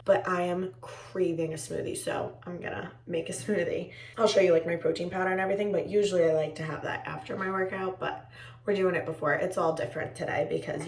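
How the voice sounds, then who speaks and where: A young woman with a raspy, tired voice, chatting casually to her viewers in a home vlog. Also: fairly low pitch, reverberant, far from the mic. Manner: chatty, rambling, talks to viewers as friends. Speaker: vlogger. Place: indoors at home.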